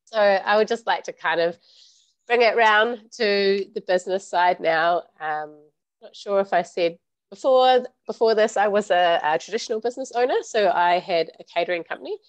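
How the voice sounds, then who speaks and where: A woman in her thirties with a croaky voice from recent illness, chatting casually about her home business. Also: mid-range voice, normal speed, dry recording. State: croaky voice, getting over illness. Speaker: business mentor host.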